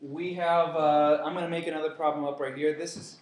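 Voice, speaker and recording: A man's voice: on the high side, little pitch movement, a little fast, recorded from a distance. A man teaching a chemistry review lesson to his class, playful and joking with students. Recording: echoey classroom with noise.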